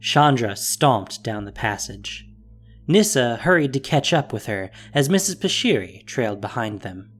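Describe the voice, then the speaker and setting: Male narrator for fantasy audio drama, mid-pitched, scripted storytelling with music underneath. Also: big pitch swings, a little slow, fairly bright tone, slightly rough.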